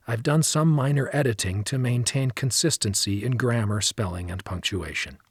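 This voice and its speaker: Husky male audiobook narrator, close and dry. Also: medium pitch, no echo. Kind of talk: scripted narration.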